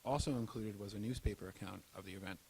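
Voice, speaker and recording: A man's voice: on the low side, fast talker, vocal fry, darkish tone, poor audio. Male history narrator with background hiss. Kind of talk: scripted historical documentary narration.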